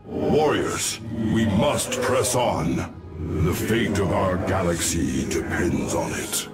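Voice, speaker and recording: An actor playing a wise old alien warrior prophet in a sci-fi game, solemn, measured and formal. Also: male voice, sits low, very animated, exaggerated voice. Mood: determined. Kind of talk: dramatic sci-fi game acting. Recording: loud music behind the voice.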